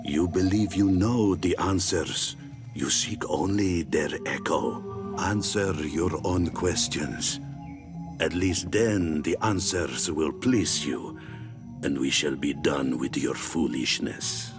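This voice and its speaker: Deep, slow, scornful village sage. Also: male voice, exaggerated voice. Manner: solemn, disdainful. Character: stern, scornful wise man of a native village. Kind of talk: video game character acting. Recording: music under the dialogue.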